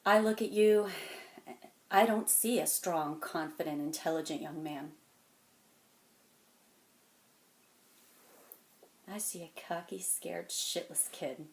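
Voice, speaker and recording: A woman performing a dramatic monologue as a weary, wounded, stern mentor, speaking deliberately and intensely, moving between hushed and forceful. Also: low-pitched. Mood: stern, cutting. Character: weary, wounded, stern mentor confronting a cocky young genius. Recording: echoey room.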